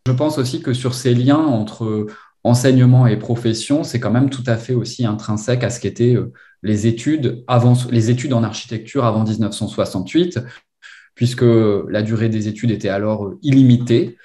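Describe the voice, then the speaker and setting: A man in his thirties or forties explaining in a measured way during an academic discussion. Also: mid-range voice, warm tone. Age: 30s to 40s. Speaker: academic book co-author being interviewed. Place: indoors on a video call, virtual background. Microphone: computer video call.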